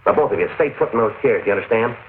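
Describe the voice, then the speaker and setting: A man's voice: fairly low pitch, animated, very fast, lightly acted. An actor playing a stern male police officer who gives curt orders, in 1940s radio style. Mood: commanding. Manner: curt and commanding. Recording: tinny, hissy old radio broadcast.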